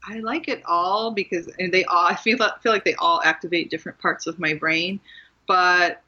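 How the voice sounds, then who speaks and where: A middle-aged woman from Maryland, talking frankly about her own life and often laughing at herself. Her voice is bright and forward. The sound is a little muffled and lo-fi. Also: on the low side, fairly even intonation, moderate pace. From Maryland, USA. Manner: candid and self-deprecating, laughs often. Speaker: guest author. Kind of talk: casual interview conversation.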